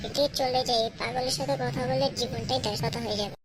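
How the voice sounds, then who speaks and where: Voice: sits high, steady tone, fast, loud music behind the voice, natural voice. A performer voicing a cheeky, argumentative young boy who scolds his elder in a comedy cartoon. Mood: angry, threatening. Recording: clearly noisy background.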